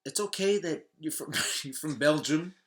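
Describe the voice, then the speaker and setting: Bright, forceful man chatting informally. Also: a little high, moderate pace. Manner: informal, slangy, swearing. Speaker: podcast co-host. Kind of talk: casual chat.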